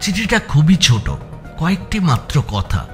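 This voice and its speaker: A man's voice: a little high, big pitch swings, measured pace, faint music behind. A man reading scripted story narration as an audiobook narrator.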